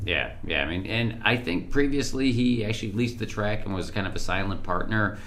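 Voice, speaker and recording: A man's voice: fairly low pitch, brisk, a bit bright. A man chatting casually on a podcast in a rambling way, his voice froggy from being sick. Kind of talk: casual chat.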